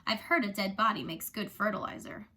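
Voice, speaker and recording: A woman's voice: medium pitch, fairly quick, bright, forceful tone. A woman voicing an anxious, imaginative young schoolboy with morbid theories, dramatic and speculative, in a storybook reading.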